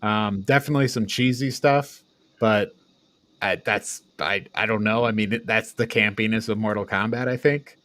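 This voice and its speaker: A man with a fairly low voice talks through a recap as a podcast co-host. His delivery is informal and conversational. The sound is dry, with very little room on it. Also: steady tone, normal speed, fairly bright tone, dry recording. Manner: informal, swears casually. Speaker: podcast co-host reviewing films. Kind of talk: casual recap discussion.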